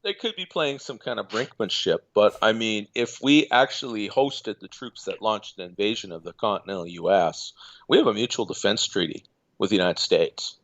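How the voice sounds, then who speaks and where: A man calling in as a guest, talking in a measured, hesitant way with many fillers. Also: fairly low pitch, fairly bright tone, muffled recording. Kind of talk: casual remote call-in chat.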